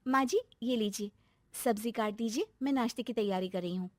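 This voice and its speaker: A woman's voice: fairly high pitch, fairly quick, a little mellow, dry recording, lightly acted. A woman voicing an outspoken, easily hurt young daughter-in-law in a family moral story, with an emphatic manner. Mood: dutiful. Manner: pointed, complaining, emphatic.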